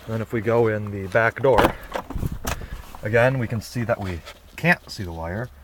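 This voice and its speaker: A young man giving a relaxed, rambling how-to walkthrough while filming outdoors in the snow and inside his car on a handheld camera. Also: on the low side, moderate pace, heavy background noise. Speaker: vlogger.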